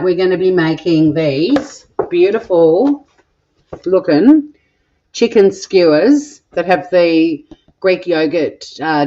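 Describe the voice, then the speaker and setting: A woman's voice: lowish voice, warm tone. A middle-aged Australian woman hosting a cooking stream from her home kitchen, chatting with viewers in a playful way and swearing now and then. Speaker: cooking vlog host. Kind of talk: casual chat, live cooking stream reading viewer comments. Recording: dull lo-fi home recording.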